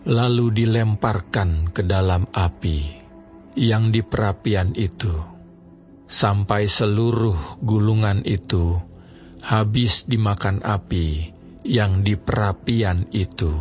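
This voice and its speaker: A man narrating an audio Bible drama in a slow, measured reading voice. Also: fairly low pitch, darkish tone. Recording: slightly dull studio audio over music.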